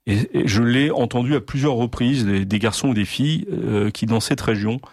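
A middle-aged man from Normandy speaking as a guest in a discussion, halting with many pauses and restarts. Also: medium pitch, darkish tone, dry recording. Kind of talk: hesitant conversational discussion.

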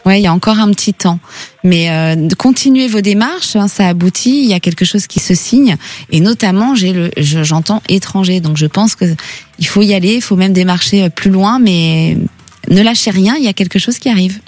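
A woman's voice: mid-range voice, normal speed, darkish tone, no echo, faint music behind. A woman hosting a radio phone-in, giving callers intuitive advice in a conversational, reassuring and encouraging way. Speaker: radio phone-in host giving psychic readings.

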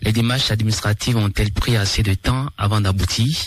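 A man's voice: lowish voice, steady tone. Formal radio newsman. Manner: measured, formal. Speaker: radio news presenter and interviewer. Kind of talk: scripted news reading and interview questions.

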